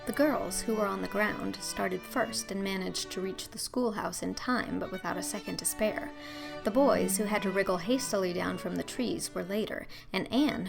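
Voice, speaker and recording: Expressive woman narrator, slightly creaky. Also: medium pitch. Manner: theatrical, emphatic. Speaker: audiobook narrator. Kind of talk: expressive scripted storybook narration.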